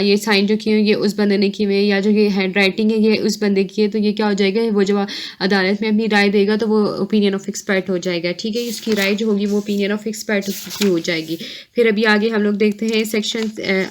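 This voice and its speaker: A woman giving an informal explanatory law lecture with examples, halting as she rephrases points and often checking in with short confirming tags. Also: medium pitch.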